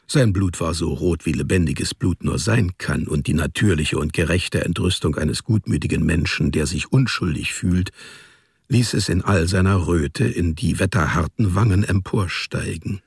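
A male narrator reads scripted literary prose for a radio drama. His voice is deep, creaky and husky. The sound is close and dry. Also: normal speed, darkish tone, no echo. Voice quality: gravelly, husky.

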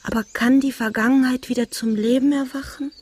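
A young gentlewoman of a ruined family, wistful and dignified. Her voice sits on the high side and has a soft, husky breath to it. She speaks earnestly and with measured care, in the manner of radio drama. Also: fairly even intonation. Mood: wistful, resigned. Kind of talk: German radio drama acting.

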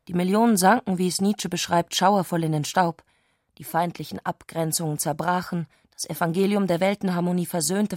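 A woman's voice: fairly low pitch, darkish tone, dry recording, clean studio sound. A woman reading scripted literary prose as a narrator in a radio adaptation.

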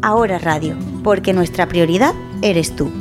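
A woman reads a scripted public service announcement in a measured, instructive tone. Guitar music plays clearly underneath her voice. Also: on the low side.

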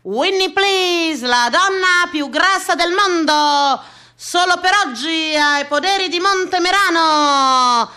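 A woman playing a bombastic fairground sideshow barker in a theatrical radio piece, crying out drawn-out, declamatory phrases. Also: very high voice, sharp tone, a little hiss or hum, full character voice. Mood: bombastic hawking.

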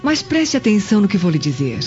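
A woman's voice: medium pitch, big pitch swings, fast, darkish tone, natural voice. A woman playing a scheming, haughty Roman noblewoman in a radio drama, insinuating and theatrical. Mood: sly, menacing. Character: scheming, venomous Roman noblewoman spreading slander. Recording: dull lo-fi radio drama, music behind.

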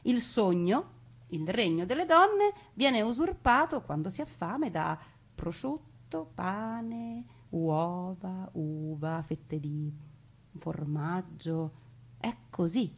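A woman narrating a theatrical monologue in a dramatic, deliberate way, lingering over her lists. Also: on the low side, animated, breathy edge. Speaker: stage actress and narrator.

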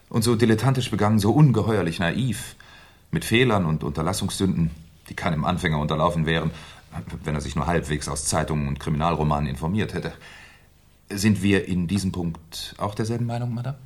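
An actor playing a shrewd, sardonic Paris police inspector in a 1970s German radio crime play, deliberate and mock-courteous. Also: male voice, low-pitched.

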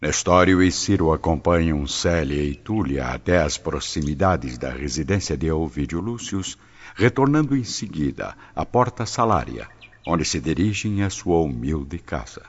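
A man's voice: very deep voice, animated, moderate pace, breathy edge. A man giving scripted, dramatic narration for a radio drama. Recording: dull lo-fi audio. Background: prominent background music.

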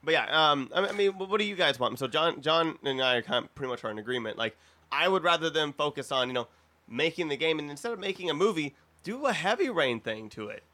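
A man with a slightly high, very bright and forward voice co-hosts a casual podcast panel. He argues his opinions freely and drops swear words as he goes. The delivery is conversational and unscripted. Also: lively intonation, fast talker. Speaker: podcast co-host.

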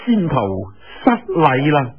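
A man's voice: medium pitch, big pitch swings, slow pace, slightly rough, lo-fi sound, exaggerated voice. A man voicing a courteous, lovelorn young swordsman in a storytelling show, speaking politely and deferentially. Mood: respectful. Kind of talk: Cantonese radio storytelling.

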